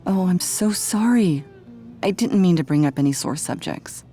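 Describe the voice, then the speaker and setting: A woman's voice: fairly low pitch, expressive, moderate pace, dark tone, soft background music, close to an everyday voice. A woman playing a prim, gracious middle-aged mother in a video game, polite and theatrical with a pointed edge. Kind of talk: video game cutscene acting.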